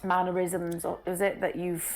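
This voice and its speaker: Welsh woman cohosting a stream, lowish voice, trips and restarts a lot, laughs easy. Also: monotone, soft background music. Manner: halting, restarts, laughs easily. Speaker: co-host. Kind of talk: casual chat on a live stream.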